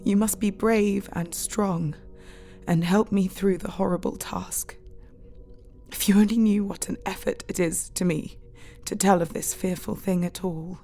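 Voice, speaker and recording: An actress playing a brave but distressed young Victorian wife, speaking solemnly and tenderly in an audio drama. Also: female voice, medium pitch, fairly even intonation, unhurried, mellow, faint music behind, natural voice. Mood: loving, pained. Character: brave, distressed gentle young married Victorian woman, Mina.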